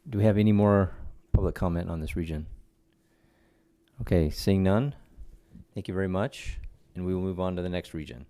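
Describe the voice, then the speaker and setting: Man, meeting chair, low voice, warm, brisk, polite. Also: dry recording.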